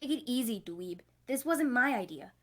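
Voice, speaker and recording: Cartoon girl cousin voiced by a woman: higher-pitched, snippy, sarcastic, prim planner. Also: expressive, normal speed, a bit bright.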